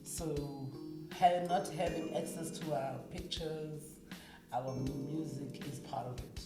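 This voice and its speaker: South african woman, low voice, guest chat, echoey room with loud music behind. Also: takes it slowly. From Cape Town, South Africa. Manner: mixes in Xhosa phrases, laughs often. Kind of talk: casual interview chat.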